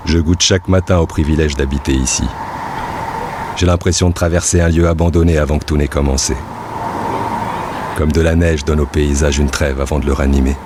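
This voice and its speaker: An actor playing a devoted, dreamy amusement park worker who speaks a reflective journal-like monologue in an audio drama. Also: male voice, extremely low pitch, fairly even intonation, a little fast, background noise. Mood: reflective, anticipating.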